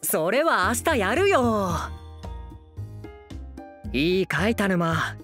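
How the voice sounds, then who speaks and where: A whiny, playful young lord from a comedy anime. He wheedles in a sing-song, pouty way, affectionate and idle, with music clearly playing behind him. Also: high-pitched, very animated, extremely slow, a bit bright, full character voice. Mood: breezy, teasing. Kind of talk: anime voice drama comedy acting.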